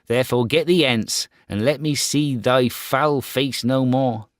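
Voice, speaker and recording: A man voicing a proud, cruel boy who later turns remorseful, crying out in a high, strained voice. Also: a little slow, no room sound, studio quality, lightly acted. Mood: scornful.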